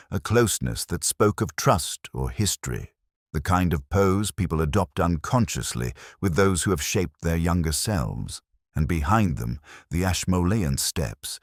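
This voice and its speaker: A man with an extremely low voice narrates a brooding literary mystery in the first person. His delivery is measured and solemn, yet his pitch moves expressively through each phrase. The sound is dry and close, with very little room around it. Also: no echo. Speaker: audiobook-style narrator of a detective story.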